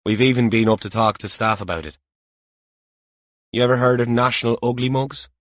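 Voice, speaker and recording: A man's voice: medium pitch, fairly even intonation, a little fast, dry recording, clean studio sound, lightly acted. A man voicing a seasoned, colloquial police officer with a wry, emphatic manner in an audiobook. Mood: matter-of-fact.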